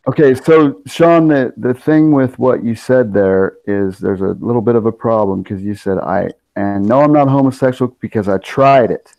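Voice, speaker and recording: A man's voice: mid-range voice, normal speed, hardly any pauses, mellow, no echo. A man in his forties hosting a casual group talk, earnest and a bit lecturing with his friends. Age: 40s. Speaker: channel host. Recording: dull dry lo-fi sound.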